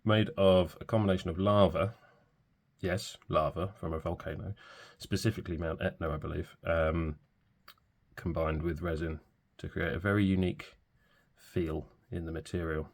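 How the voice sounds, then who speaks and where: Deep, gravelly-voiced man with a cold. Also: normal speed. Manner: relaxed, hesitant, many fillers. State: stuffy from a cold. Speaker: pen reviewer. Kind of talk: casual product review.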